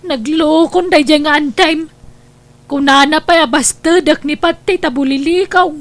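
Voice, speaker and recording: A woman's voice: sits high, fairly even intonation, a little slow, dry recording. An actress playing an indignant, incredulous mother in a comedy drama, speaking in exclamations. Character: indignant, incredulous mother and scolding younger sister. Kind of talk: Ilocano comedy drama acting.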